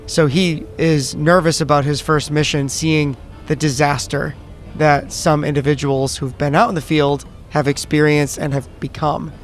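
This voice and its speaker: A man's voice: on the high side, little pitch movement, moderate pace, soft background music. A man who is a player in a tabletop roleplaying game, describing what his character does.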